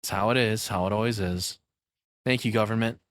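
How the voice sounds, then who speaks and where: Low-voiced man in a dry-sounding room. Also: steady tone, fairly quick, fairly bright tone, dry recording. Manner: blunt, informal, swears freely. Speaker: reaction video host. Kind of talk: casual off-the-cuff commentary.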